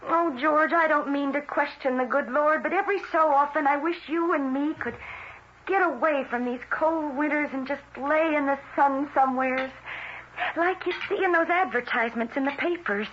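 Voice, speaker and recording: Woman playing a high, folksy small-town wife. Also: moderate pace, a little hiss or hum, lightly acted. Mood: homey, wistful. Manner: folksy, gently teasing. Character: homey, outspoken small-town wife of a mailman. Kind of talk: 1970s radio drama acting. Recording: dull vintage radio broadcast recording.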